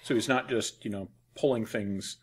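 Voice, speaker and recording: A man's voice: on the low side, moderate pace, husky. A man in a casual, explanatory podcast discussion, speaking as a technical consultant. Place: podcast studio.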